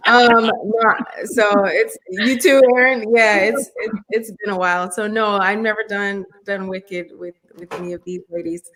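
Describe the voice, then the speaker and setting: A woman with a low alto speaking voice, chatting as a guest on a video call, bubbly and laughing often. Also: steady tone, a little slow, fairly bright tone, rough recording. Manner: bubbly, laughs often, says honey and y'all. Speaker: guest performer. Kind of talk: casual video call chat.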